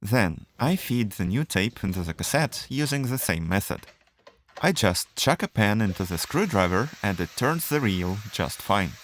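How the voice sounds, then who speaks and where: A man with a fairly low voice narrates a scripted how-to video. His intonation is very varied and animated. He explains things methodically, step by step, as the host of the tutorial. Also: normal speed, a little hiss or hum.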